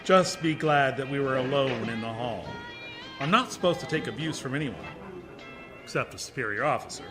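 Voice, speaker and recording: A man's voice: mid-range voice, normal speed, over music, close to an everyday voice. A man acting in a radio play as a stiff, prickly military base commander, stern, clipped and formal in speech. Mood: gruff, easing. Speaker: actor.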